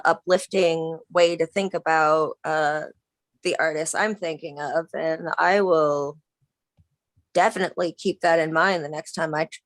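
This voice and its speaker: A woman in an online drawing group, chatting eagerly and halting often as she thinks aloud. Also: low-pitched, no room sound. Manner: enthusiastic, halting, many fillers and restarts. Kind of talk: casual chat on a video call.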